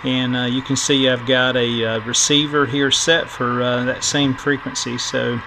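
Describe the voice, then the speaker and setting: A middle-aged man giving a casual electronics tutorial in a halting, thinking-aloud way, as the host of a repair video. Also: medium pitch, flat delivery. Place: indoor workbench. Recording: clear background noise.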